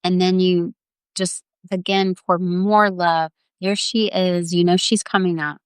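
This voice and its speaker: A woman's voice: fairly low pitch, level tone, unhurried, clean tone, dry recording, studio quality. A woman leading a guided visualization in a tender, earnest, coaxing way, improvising as she goes and repeating her phrases. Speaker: guided meditation leader.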